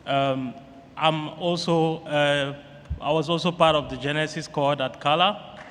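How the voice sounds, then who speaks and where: A man's voice: fairly high pitch, steady tone, a little slow. A man, a developer on a conference panel, giving a brief and somewhat hesitant self-introduction. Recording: echoey hall, some noise.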